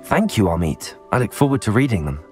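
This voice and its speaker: A man's voice: lowish voice, big pitch swings, fairly quick, darkish tone. A man playing a polite, brave teenage schoolboy wizard in a video game, speaking with understatement. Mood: polite, grateful. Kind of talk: video game cutscene acting. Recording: music behind the voice.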